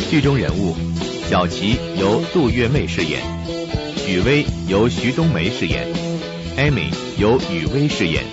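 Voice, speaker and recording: A man reading out credits as a scripted announcement. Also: on the low side. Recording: muffled audio under loud music.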